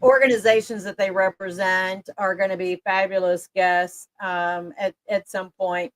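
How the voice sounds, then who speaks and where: A woman co-hosting a casual interview podcast, chatty and upbeat with quick asides. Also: mid-range voice, fairly even intonation, dry recording. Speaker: podcast co-host.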